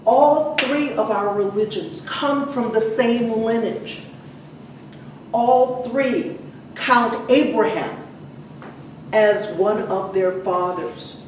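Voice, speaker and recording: Female church preacher, unhurried and deliberate, medium pitch, heavily echoing room with faint noise. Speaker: preacher leading a church service. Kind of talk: sermon with scripture introductions. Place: indoor church service. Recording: echoey church, distant mic, faint noise.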